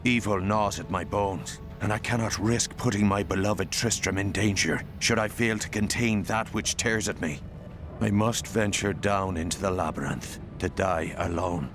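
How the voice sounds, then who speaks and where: A man's voice: on the low side, moderate pace, over music, natural voice. An actor playing a doomed male knight, solemn and sorrowful, narrating his tragic journal in a fantasy game. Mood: grave, anguished. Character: anguished doomed knight recounting his mad king's curse.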